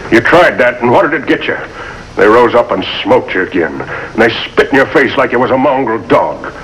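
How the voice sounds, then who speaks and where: A man's voice: mid-range voice, big pitch swings, normal speed, fairly bright tone. An actor playing a proud, vengeful backwoods father with a rural American accent in a 1950s television drama. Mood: goading, righteous. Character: stern, proud, vengeful backwoods family patriarch. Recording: faintly noisy old TV soundtrack.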